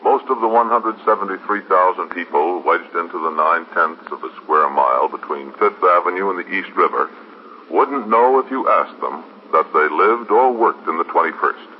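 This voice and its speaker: Low-voiced male radio announcer, muffled. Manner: measured, formal. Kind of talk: 1950s radio scripted narration. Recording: muffled, noisy 1950s radio recording.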